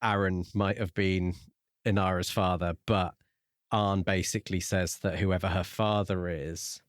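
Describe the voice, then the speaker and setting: A man's voice: deep voice, fairly even intonation, a little slow, dry recording, studio quality. A man co-hosting a podcast and talking casually about a book. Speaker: podcast co-host.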